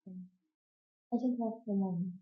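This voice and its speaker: A young woman answering speaking-exam questions as a candidate, slow and hesitant, searching for words. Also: mid-range voice, steady tone. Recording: echoey, tinny phone-like sound.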